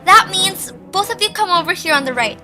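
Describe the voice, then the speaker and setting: A woman playing a young, analytical and excitable cartoon creature in an amateur audio drama, explaining things earnestly and expressively. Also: very high voice, fairly quick, over music. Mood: working it out.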